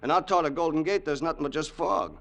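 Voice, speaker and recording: A man's voice: fairly high pitch, fast, vocal fry, fairly bright tone. An actor playing a loyal, regretful henchman in an old radio crime drama, with an American accent and slangy tough-guy speech. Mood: deferential, plain. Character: loyal, remorseful racketeer's henchman, plain-spoken tough guy. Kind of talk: old-time radio drama acting and narration.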